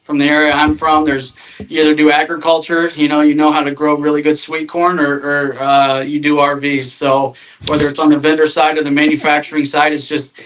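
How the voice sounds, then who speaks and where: A man's voice: fairly high pitch, little pitch movement, brisk, a bit bright, poor audio. Self-deprecating man chatting on a call. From Northern Indiana. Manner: self-deprecating, lots of fillers and restarts. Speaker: guest, RV sales manager. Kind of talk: casual podcast chat.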